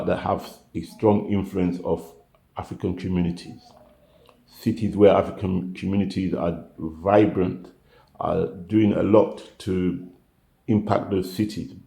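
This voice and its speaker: A man's voice: low voice, gravelly, warm tone, reverberant. An older man, a retiree vlogger, chatting casually to camera, rambling and correcting himself as he goes. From United Kingdom.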